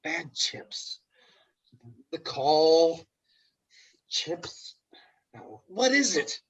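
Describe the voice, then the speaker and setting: A man's voice: a little high, sing-song, extremely slow, slightly rough, full character voice, low-quality recording. A man playing an exasperated, reluctant straight man in an office comedy, read dryly and curtly over a video call. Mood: puzzled. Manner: dry, curt. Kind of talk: comic stage script table read. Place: home, online video call.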